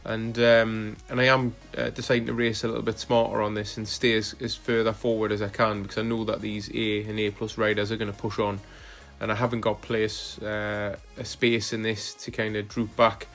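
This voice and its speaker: Flat-voiced man narrating his rides. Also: lowish voice, moderate pace, creaky, few pauses, faint music behind. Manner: relaxed, hesitant with frequent fillers. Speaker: cycling vlogger. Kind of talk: casual voice-over narration of ride footage.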